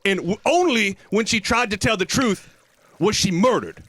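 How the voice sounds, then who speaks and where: A man's voice: high-pitched, animated, moderate pace, a bit bright, no echo. A man voicing a proud, defensive, conspiracy-minded manatee in a comic cartoon interview, rambling and combative. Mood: aggrieved storytelling.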